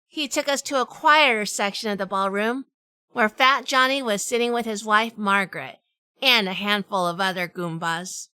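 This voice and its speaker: An actress playing a feisty, flirtatious and sardonic gangster's wife in a 1930s-style radio drama. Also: female voice, medium pitch, pushed and bright, clear, resonant tone, no echo. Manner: sassy, sardonic. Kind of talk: hardboiled period radio drama dialogue and first-person narration.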